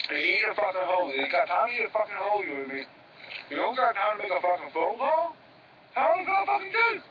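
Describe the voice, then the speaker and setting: A man's voice: high-pitched, sing-song, fast talker, a loud crowd. An actor playing a broke young man who pleads with his brother, cursing and stumbling over his words. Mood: pleading. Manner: profane, pushy, stammering. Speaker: struggling writer asking his brother for help. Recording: echoey, distant, dull, with background noise.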